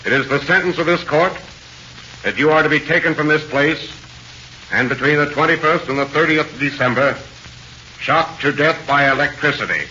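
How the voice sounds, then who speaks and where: An actor playing a stern, formal trial judge with solemn, declamatory delivery in 1940s radio drama. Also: male voice, a little high, moderate pace, pushed and bright. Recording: muffled, hissy 1940s radio recording.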